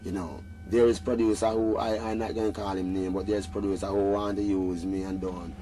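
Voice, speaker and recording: A man's voice: low voice, little pitch movement, a little fast, warm tone, a little hiss or hum. A Jamaican man, a reggae singer being interviewed, talking in an earnest, rambling way with patois phrasing. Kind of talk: casual interview talk.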